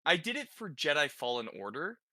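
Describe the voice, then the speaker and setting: A man's voice: fairly high pitch, very animated, brisk, sharp tone, no echo, clean studio sound. A man hosting a podcast, chatting casually about video games in an informal way, as if thinking aloud. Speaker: podcast host.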